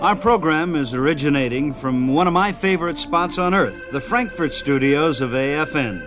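A man with a fairly high voice hosts a scripted radio program of vintage entertainment. Music plays clearly behind him as he presents. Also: expressive, normal speed. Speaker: radio show host.

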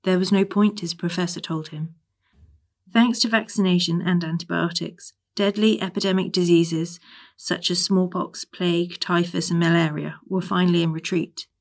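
A woman's voice: lowish voice, fairly even intonation, normal speed. A woman reading a history article aloud in a composed, formal way. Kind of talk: scripted narration, reading an essay.

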